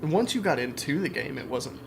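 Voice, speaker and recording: A man chatting casually about video games on a podcast, with clear background noise. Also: a little high, very animated, a little fast. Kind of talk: casual gaming podcast chat.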